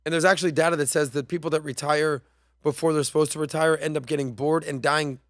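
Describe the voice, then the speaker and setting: Guy hosting a podcast, kinda high voice, punchy slangy talk, dry close sound. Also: steady tone, quick pace, a bit bright, no echo. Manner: emphatic, slangy, talks over played clips. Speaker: podcast host. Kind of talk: casual podcast commentary.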